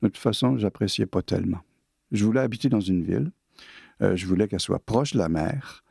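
An older man in his sixties speaks with a Québécois French accent, relaxed and unscripted. His voice sits a little low and has a gravelly edge, and he often pauses or restarts his sentences. The recording is close and dry, with no room sound. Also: no echo. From Quebec, Canada. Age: 60s. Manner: hesitant, many fillers and restarts. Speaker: interviewee. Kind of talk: casual personal storytelling.

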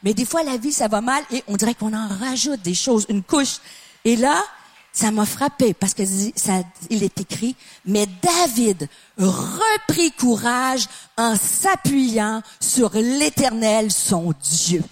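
A woman's voice: a little high, animated, takes it slowly. A middle-aged woman from Canada with a Québécois French accent, preaching a sermon with passionate emphasis, building to exclamations.

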